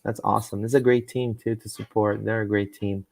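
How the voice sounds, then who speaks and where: A man's voice: fairly low pitch, little pitch movement, fairly quick, creaky, darkish tone, no room sound. Rambling man on a sports live stream. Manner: rambling, with frequent fillers and repeated words. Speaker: podcast host.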